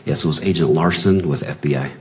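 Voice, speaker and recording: An American man giving factual courtroom testimony as a law enforcement witness, halting when answers run longer. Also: very deep voice. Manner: halting in long answers, brief factual replies. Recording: noisy courtroom audio.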